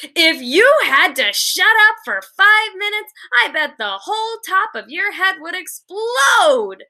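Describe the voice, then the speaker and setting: An exasperated fifth-grade boy from a storybook, read by a woman. He talks back bluntly and emphatically in a high, bright voice. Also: very animated, takes it slowly, full character voice.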